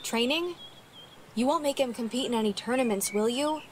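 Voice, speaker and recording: A woman's voice: on the high side, moderate pace, slight background noise, natural voice. A woman playing an earnest, youthful girl rider in a video game, protective of her horse. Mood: worried. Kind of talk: scripted video game dialogue.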